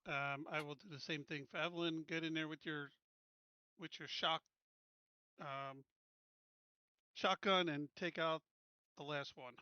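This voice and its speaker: A man's voice: a little high, steady tone, unhurried, pauses often, bright, forceful tone, clear, resonant tone, dry recording, muffled recording. A man talking casually as a game player, matter-of-fact as he gives out his moves. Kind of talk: casual game play talk.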